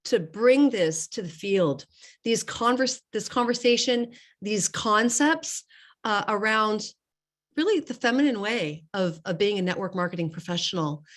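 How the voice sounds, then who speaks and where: A middle-aged woman getting over a slight cold, hosting a casual online group call in an emphatic, urging way, repeating key phrases for weight. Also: mid-range voice. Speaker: host and network marketing business coach.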